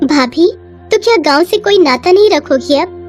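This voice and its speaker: A woman voicing a gentle, trusting village sister-in-law in a moral story, polite and concerned. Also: very high voice, expressive, normal speed, faint music behind.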